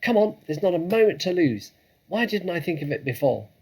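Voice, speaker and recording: A man voicing a proud, anxious, quick-witted father fox in a storybook, urgent and exclamatory. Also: sits high, very animated, a little mellow, slightly rough, voice pushed up, exaggerated voice. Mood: urgent, excited.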